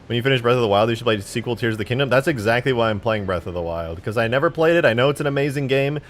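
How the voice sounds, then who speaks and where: A man's voice: medium pitch, very fast, slight background noise. A man in his twenties chatting casually on a live stream while modding a game, rambling, joking and swearing freely. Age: 20s.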